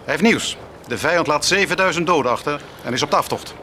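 An actor playing a terse army messenger who reports grim news in a theatrical radio drama. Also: male voice, fairly high pitch, animated, quick pace, pushed and bright, a little hiss or hum. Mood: dutiful, grim.

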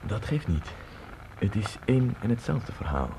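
An actor in a radio play voicing a worldly, inquisitive novelist with a formal, wordy and measured manner. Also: male voice, fairly low pitch, very animated, warm tone. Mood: dry, knowing. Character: worldly, inquisitive male novelist, courteous and insistent. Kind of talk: radio drama acting. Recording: noisy radio drama recording.

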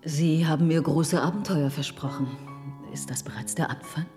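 An actress playing a cool, adventurous aristocratic countess, poised and sly, in a German radio drama. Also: female voice, deep voice, steady tone, normal speed, warm tone, faint music behind, natural voice. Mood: cool, amused.

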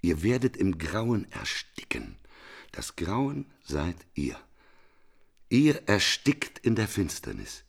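Gravelly, very deep doomsday prophet. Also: male voice, wildly swooping pitch, slow pace, full character voice. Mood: wrathful, scornful. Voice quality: gravelly, husky. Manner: declamatory, sardonic, swinging from hushed to thundering. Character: bitter doomsday prophet, despairing, contemptuous of mankind. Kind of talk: literary radio play acting.

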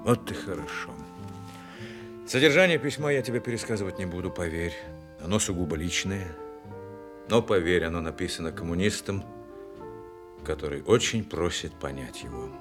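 A man acts out an aging, proud Communist officer near the end of his life, in a very low voice. He declaims gravely and earnestly, in measured phrases, in the manner of Soviet radio theatre. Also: over music, close to an everyday voice. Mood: quietly insistent. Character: dying old war veteran, principled, proud Communist officer. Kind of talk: Soviet radio drama acting.

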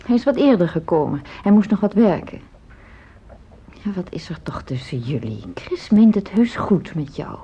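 Radio drama: frail older mother, hesitant, gentle, breathy-rough voice, muffled lo-fi sound. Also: fairly low pitch, sing-song, normal speed, full character voice. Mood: gentle, pensive. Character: gentle ailing older mother of grown children, downplaying her illness. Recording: dull lo-fi radio play recording.

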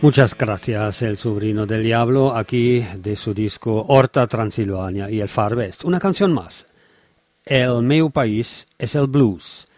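A man's voice: medium pitch, slow, darkish tone, no room sound. A man informally presenting music between songs, speaking as the presenter.

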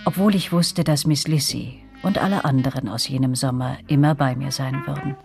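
An actress playing a grown woman who narrates a German radio drama reflectively, with a husky, breathy voice. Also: extremely low pitch, normal speed, loud music behind the voice. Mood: wistful, reflective.

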